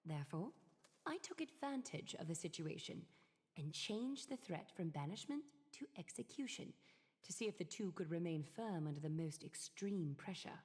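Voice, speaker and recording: An actress playing an aloof, calculating noblewoman leader in a video game, speaking formally and eloquently with measured composure. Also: lowish voice, expressive, darkish tone, lightly acted. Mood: cool, matter-of-fact. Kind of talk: scripted video game voice acting. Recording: long reverb, music behind.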